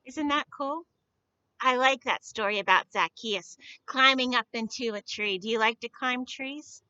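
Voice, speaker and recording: A woman telling stories to young children in a simple, deliberate, expressive way, often putting questions to them. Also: on the high side, clear, resonant tone, no room sound, lo-fi sound. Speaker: children's storyteller at a church. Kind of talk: read-aloud storytelling for young children.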